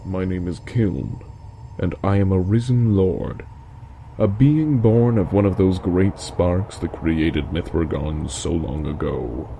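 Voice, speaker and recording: Deep, gravelly ancient lord played by a man. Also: mellow, slight background noise, heavily acted. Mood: solemn. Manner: grave, measured, dramatic. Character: ancient solemn risen lord, being born of sparks. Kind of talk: slow epic prologue narration.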